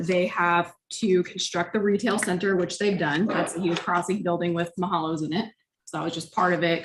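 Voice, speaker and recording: Soft-voiced woman reading a staff report. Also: fairly low pitch, noisy background. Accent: Midwestern American. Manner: apologetic, conversational. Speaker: city staff member giving an update.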